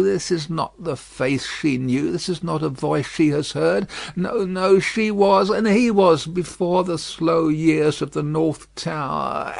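A frail old prisoner, dazed and haunted, as a man reads him aloud, his voice lifted high and wavering with each broken question. He speaks haltingly, as if groping for memories. The recording is dry and close. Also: creaky, a little mellow, dry recording, heavily acted. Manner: halting, wondering, broken phrases.

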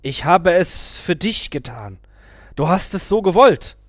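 A man voicing a sneering, menacing old male guru who talks slowly and drops to whispers. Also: high voice, very animated, no echo, studio quality, pitched above the performer's own voice, heavily acted. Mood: soothing. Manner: slow, mocking, whispered urgings.

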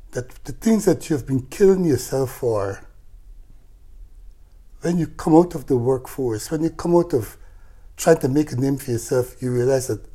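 A man's voice: fairly high pitch, mellow. A man in his seventies with a Guyanese accent, reflecting in conversation as an interview guest, with emphatic repetition. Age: 70s. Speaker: guest, church elder.

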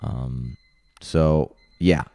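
Man, hoarse, very deep, resonant, dry close mic, casual podcast chat. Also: very slow, dry recording. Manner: relaxed, chatty, reads sponsor ad casually. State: hoarse from laryngitis. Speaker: podcast co-host.

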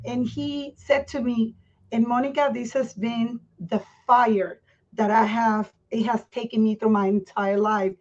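A woman, her voice set a little high. She talks candidly as a podcast guest, circling back and restarting her phrases. The sound is muffled and dull. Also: fairly even intonation, darkish tone. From Puerto Rico. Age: 50s. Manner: candid, earnest, speaks in halting restarts. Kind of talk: personal life story in a podcast interview.

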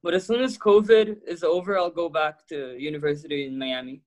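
Young man with a high voice. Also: steady tone, a little fast. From Brazil. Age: young adult. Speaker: student interviewer. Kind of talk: casual interview conversation.

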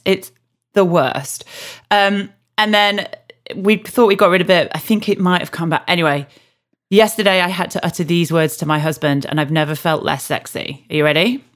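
A woman co-hosting a podcast, chatting frankly and mocking herself with easy humor. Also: fairly low pitch. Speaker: podcast co-host. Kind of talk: casual chat.

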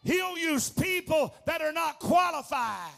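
A man preaching a fervent charismatic sermon, declaiming in repetitive rhythmic phrases with a strained, gravelly delivery. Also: very high-pitched, lively intonation, unhurried, sharp tone, raised voice, soft background music.

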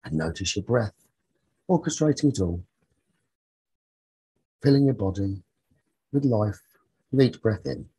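A man leading a guided sleep meditation as a teacher, soothing and husky, speaking in short phrases with long pauses. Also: on the low side, animated, mellow, poor audio.

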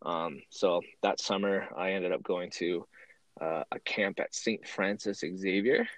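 Deep-voiced young Canadian man recounting. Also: unhurried, no room sound, rough recording. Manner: relaxed, thoughtful, frequent ums. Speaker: guest, former university football player. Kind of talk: interview storytelling.

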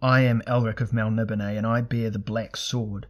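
A man's voice: on the low side, level tone, moderate pace, natural voice. A defiant warrior hero, acted by a male narrator, calls out in a raised voice. He is proud and wry, with bold, formal phrasing suited to a fantasy audiobook. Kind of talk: dramatic fantasy audiobook character voice.

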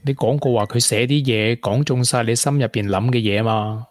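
An actor playing a supportive, sensible young boyfriend in a Hong Kong Cantonese radio drama. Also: male voice, medium pitch, normal speed, no room sound, clean studio sound, lightly acted. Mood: concerned.

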